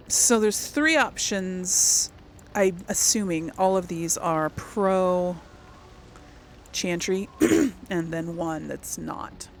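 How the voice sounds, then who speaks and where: A woman hosting a casual role-play gaming video, musing aloud haltingly and often clearing her throat. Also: fairly low pitch, a little hiss or hum.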